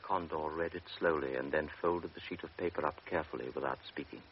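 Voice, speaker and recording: A man narrating a radio drama in a steady, restrained manner. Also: rumbling low, fast talker. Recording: muffled old radio recording with hiss.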